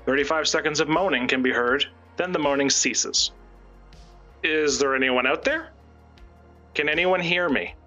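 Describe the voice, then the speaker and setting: A man's voice: on the high side, normal speed, long pauses, sharp tone, faint music behind. A man guesting on a stream, doing dramatic script reading and casual chat. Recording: dull lo-fi stream audio.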